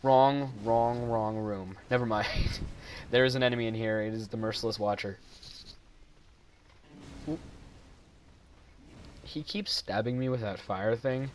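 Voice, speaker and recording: A man's voice: mid-range voice, measured pace, pauses often, a little hiss or hum. A young man casually commenting over his own gameplay footage, rambling and self-deprecating, often laughing at his own jokes.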